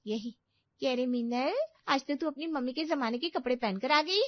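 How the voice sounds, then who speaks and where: A woman voicing an angry, accusing young college girl, scolding in a shrill, indignant way, in a story. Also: moderate pace, no room sound, muffled recording, natural voice.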